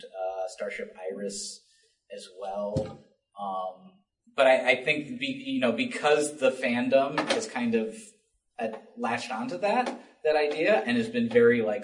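A man speaking as a panelist in an unscripted discussion, halting often as he searches for words. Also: on the low side, a little hiss or hum. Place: panel at a public library, indoors. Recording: roomy, distant library event recording.

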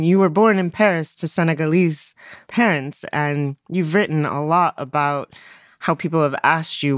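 A woman's voice: low-pitched, no echo, studio quality. A woman guest-hosting an interview, asking conversational questions and setting up context for her guest. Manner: conversational, affirming, leads with context. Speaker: guest host.

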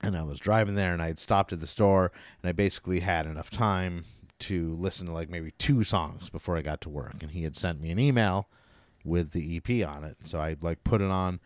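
A man with a low-pitched voice hosts a music podcast in a loose, informal style. He is middle-aged and rambles with enthusiasm, swearing now and then. The sound is dry, with little room echo. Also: a little fast. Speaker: podcast host. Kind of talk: casual rambling music chat.

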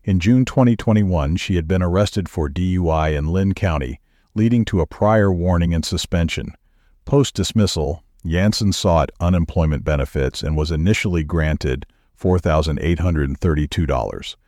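A man reading news stories as a podcast producer, with a measured, even newsreader delivery. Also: low voice, no echo, clean studio sound.

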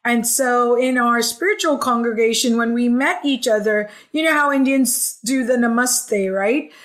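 A woman's voice: fairly high pitch, unhurried, fairly bright tone. A woman in her forties, from Hong Kong, chatting enthusiastically as a podcast guest and telling her life story with frequent "like" and "um". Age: 40s. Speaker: podcast guest, healing coach. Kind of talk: conversational storytelling interview.

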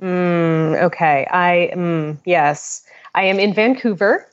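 A woman's voice: fairly low pitch, slow, clean tone, muffled recording. A woman co-hosting a podcast in casual, easygoing banter, recorded on a portable mic plugged into a phone. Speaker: podcast co-host. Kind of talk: casual chat.

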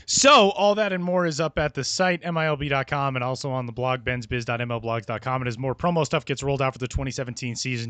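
Bright-voiced podcast host wrapping up. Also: male voice, medium pitch, clear, resonant tone, no room sound. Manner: breezy, wraps up segments with quips. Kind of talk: casual podcast chat.

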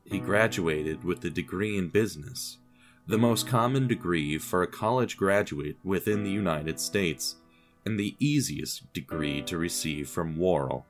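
A man's voice: low voice, unhurried, over music. A man reading scripted story narration with a dry, wry, understated manner.

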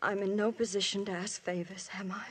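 A woman's voice: mid-range voice, flat delivery, brisk, fairly bright tone, breathy edge. An actress playing a married woman in love with a priest, speaking in an intense, emotional, pleading way in a 1950s radio drama. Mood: resigned.

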